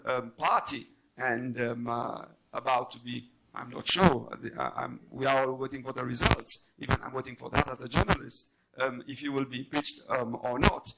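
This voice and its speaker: A man speaking as a journalist on a debate panel, halting but emphatic in his unscripted remarks. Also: mid-range voice, creaky, sharp tone, poor audio.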